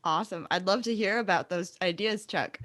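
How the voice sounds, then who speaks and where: A woman's voice: medium pitch, brisk, fairly bright tone. A woman hosting a casual podcast interview, informal and encouraging in the way she talks.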